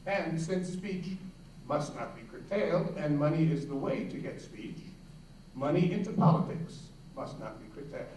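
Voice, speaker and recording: Mellow man reading in an echoey hall. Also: fairly high pitch, lively intonation, normal speed. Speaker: reader in a staged dramatic reading. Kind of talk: dramatic reading of an argument. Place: large indoor hall. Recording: echoey hall, distant, faint noise.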